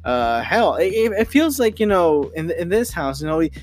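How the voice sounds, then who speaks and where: A young man hosting a casual solo podcast, rambling and excitable, full of restarts and fillers. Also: on the high side, moderate pace. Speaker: podcast host.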